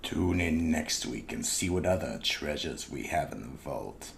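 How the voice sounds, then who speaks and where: A man's voice: very deep voice, animated, measured pace, gravelly, faint music behind. A man reading scripted narration for a radio mystery drama.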